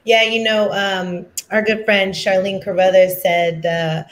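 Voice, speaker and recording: Halting woman moderating a discussion. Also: mid-range voice, level tone. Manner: halting, many fillers and restarts. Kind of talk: conversational discussion.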